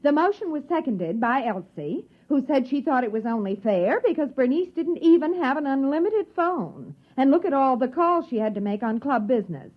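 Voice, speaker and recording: An actress playing a prim, pedantic sewing-club secretary in a radio comedy, officious and nitpicking. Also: female voice, high voice, very animated, moderate pace, few pauses. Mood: officious recital. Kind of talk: 1950s radio comedy acting. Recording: muffled old radio recording.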